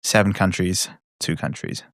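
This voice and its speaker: A man's voice: low-pitched, steady tone, normal speed, fairly bright tone, no room sound, clean studio sound. A male podcast host with a mixed Australian and British accent, chatty and rambling in a casual way. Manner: chatty, rambling, self-deprecating. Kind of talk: casual interview chat.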